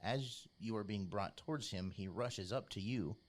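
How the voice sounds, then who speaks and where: A man narrating a tabletop role-playing game as game master, in a measured, informal, descriptive storytelling style. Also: lowish voice. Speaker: game master, podcast host.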